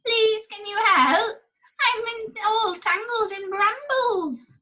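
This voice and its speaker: A woman's voice: extremely high pitch, slow pace, pushed and bright, a little hiss or hum, voice pushed up, full character voice. A woman voicing a polite, pleading female sheep in a children's storybook reading.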